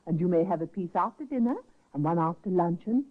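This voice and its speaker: An actress in a 1950s radio drama playing a brisk, polite but bossy nurse who runs a home, heard through a tinny old broadcast. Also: female voice, lowish voice, animated. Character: brisk capable female nurse running a home.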